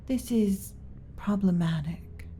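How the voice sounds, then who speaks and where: A woman playing a gentle, submissive alien servant in an ASMR roleplay, speaking softly and breathily with hesitant, deferential pauses and some whispering. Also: medium pitch, a little hiss or hum, natural voice. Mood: worried, confiding. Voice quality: soft, breathy with whispered stretches. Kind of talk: ASMR roleplay, soft intimate delivery.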